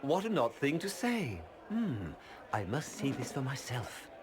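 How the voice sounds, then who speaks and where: An actor playing a haughty, vain courtly gentleman in a video game, arch and mannered. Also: mid-range voice, very animated, unhurried, a crowd in the background, background noise. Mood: puzzled, vain. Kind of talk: video game dialogue acting.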